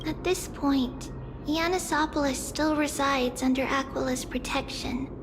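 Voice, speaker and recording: A woman voicing a solemn young seer in a video game, guiding visitors through memories in measured, formal, wistful speech. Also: high-pitched, steady tone, background noise. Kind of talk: video game voice acting, story narration. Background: game music.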